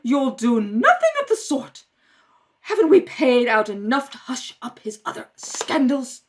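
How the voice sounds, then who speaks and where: A woman's voice: fairly high pitch, very animated, a little slow, voice pushed down. Woman playing a stern, imperious older society aunt. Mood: stern, commanding. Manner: imperious, clipped commands. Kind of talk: radio play acting.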